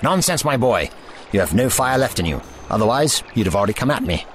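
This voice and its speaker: A man's voice: medium pitch, very animated, brisk, slight background noise, lightly acted. A man playing an eccentric, authoritative older adventurer, cautious and kindly, speaking formally and precisely in an audio drama. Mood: firm, avuncular. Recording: voice over prominent music.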